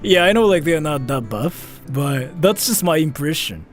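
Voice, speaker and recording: A man from Japan hosting a livestream, chatting casually as he reads viewer comments, animated and defensive, with lots of restarts. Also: on the high side, moderate pace, faint music behind. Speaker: livestream host.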